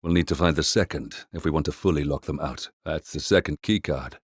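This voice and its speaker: A composed, brooding mercenary handler from a stealth video game. His voice sits low, and he delivers briefings in measured, understated phrases with a wry edge. The sound is dry and close, free of room echo. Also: husky, full character voice. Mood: businesslike. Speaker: mission handler. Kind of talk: scripted video game voice acting, mission briefing.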